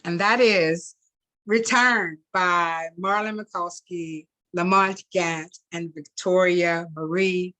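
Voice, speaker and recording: A woman reading fiction aloud as a host, expressively and deliberately, sometimes repeating words. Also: fairly low pitch, steady tone, bright, forceful tone. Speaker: reader and event host.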